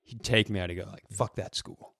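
A man's voice: on the low side, brisk, gravelly, dry recording, clean studio sound. A middle-aged man co-hosting a comedy podcast, telling stories casually, swearing freely and acting out quotes. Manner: animated retelling with acted quotes, casual swearing. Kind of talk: casual comedic storytelling chat.

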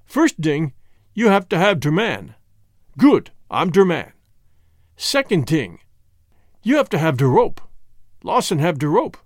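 A defiant, excitable prisoner from an adventure audiobook, acted out by a male narrator. He speaks broken English in a thick Germanic accent, high and very animated, with a cunning, taunting edge. The recording is close and dry. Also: unhurried, long pauses, no echo. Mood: slyly triumphant. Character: defiant foreign condemned man, cunning and excitable.

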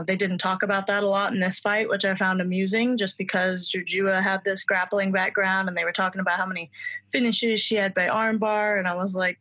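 A woman's voice: medium pitch, bright, forceful tone. A woman in her twenties answering interview questions in a chatty, confident way, with many fillers. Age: 20s. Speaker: mixed martial arts fighter and student.

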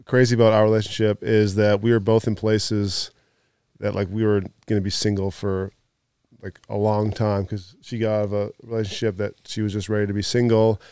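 Guy in his 30s, low smooth voice, dry close sound, tells stories w/ lots of laughs. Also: brisk, no echo. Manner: animated storyteller, laughs mid-story. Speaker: podcast guest, former athlete. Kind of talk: casual storytelling chat.

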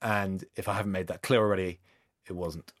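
A man's voice: low voice, fairly even intonation, a little fast, dry recording. A man, a podcast guest, talking in a dry, sardonic, scathing way in casual chat.

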